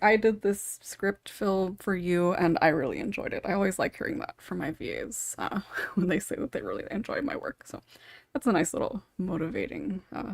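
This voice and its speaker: A woman's voice: fairly low pitch, gravelly, no room sound. A woman hosting a casual podcast, rambling and interrupting herself, with small chuckles between thoughts. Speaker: podcast host and script writer.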